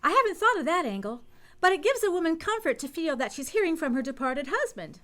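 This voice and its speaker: A woman's voice: very high voice, big pitch swings, quick pace, fairly bright tone, heavily acted. An actress playing an eager, breezy, slangy girl newspaper photographer in a radio drama. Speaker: radio play actor. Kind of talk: radio drama acting in vintage newsroom style.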